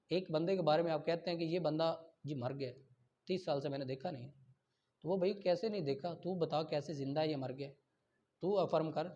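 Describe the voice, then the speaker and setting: A man's voice: on the high side, a little mellow. A Pakistani man giving a classroom law lecture, explaining didactically and often checking that students follow. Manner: didactic, checks understanding often, switches between Urdu and English. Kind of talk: classroom lecture, reading statutes aloud and explaining them. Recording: dull, lo-fi lecture recording.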